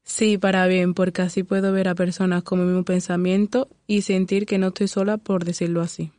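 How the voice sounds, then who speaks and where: Teenage girl, flat plain answers. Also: on the low side, brisk, dry recording. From Spain. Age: teens. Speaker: panelist. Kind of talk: podcast discussion.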